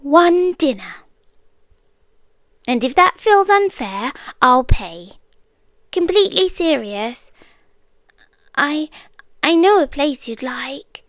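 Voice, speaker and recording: Slow, hushed British yandere girlfriend, acted by a woman. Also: high voice, sing-song, no room sound, exaggerated voice. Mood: coaxing, unsettling. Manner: hushed, deliberate, softly menacing, tender. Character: soft-spoken obsessive girlfriend stalker, calm, coolly intense, yandere. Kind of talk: intimate girlfriend roleplay ASMR, half whispered.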